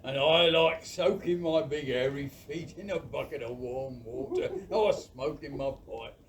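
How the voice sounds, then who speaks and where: An actor playing a warm, earnest farmer father in an audio play, rambling and repeating phrases in a folksy way. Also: mid-range voice, unhurried, close to an everyday voice. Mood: warm, jovial. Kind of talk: community audio play acting. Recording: distant mic with faint background noise.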